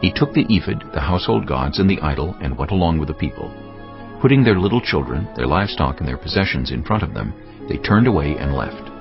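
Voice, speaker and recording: A man's voice: rumbling low, gravelly, dull audio. A man narrating scripture as a dramatised audiobook in a solemn storytelling manner. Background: music.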